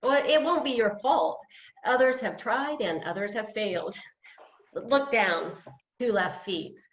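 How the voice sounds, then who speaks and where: A woman's voice: fairly high pitch, unhurried, a little hiss or hum. A woman playing a self-deprecating, hesitant retired woman of fifty-eight, a beginner dancer, in a play read over video call. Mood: wry, hesitant. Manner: hesitant, wry, chuckling. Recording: roomy, dull video-call audio.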